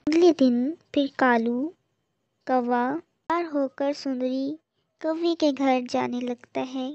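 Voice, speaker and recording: A woman's voice: high-pitched, slow pace, darkish tone. A woman reading scripted narration for a children's story. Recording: muffled lo-fi audio with echo.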